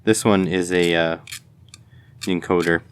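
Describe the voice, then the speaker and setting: A man's voice: low voice, level tone, measured pace, smooth, no room sound. A man giving casual hands-on commentary as host of an electronics teardown video, tentatively thinking aloud as he goes.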